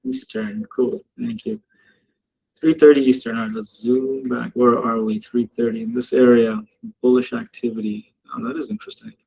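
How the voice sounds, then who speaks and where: A man with a gravelly, husky voice runs a relaxed live session on the markets. He jokes with his viewers and laughs often. His talk wanders easily from the charts to small talk. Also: mid-range voice, moderate pace, rough recording. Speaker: trading mentor hosting a live session. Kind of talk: casual live-stream commentary. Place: home office in Dubai.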